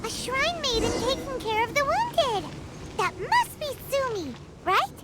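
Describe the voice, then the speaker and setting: A woman voicing a small, cheeky, girlish fairy companion with a squeaky, perky delivery in a video game. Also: very animated, unhurried, heavily acted. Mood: excited. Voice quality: squeaky, childlike. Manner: exclamatory, perky. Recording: loud game music behind voice. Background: game music.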